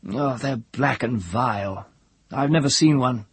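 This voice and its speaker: A man's voice: mid-range voice, unhurried, breathy edge, dry recording, pitched above the performer's own voice. A man voicing a heavyset woman in a story, smug, blunt and dismissive. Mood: smug contempt.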